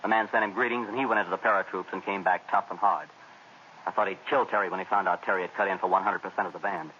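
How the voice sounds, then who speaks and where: An actor playing an excitable young jazz band drummer who talks in eager, chatty jive slang, in 1940s radio acting. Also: male voice, low-pitched, quick pace. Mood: gossipy. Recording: old radio broadcast, dull with faint hiss.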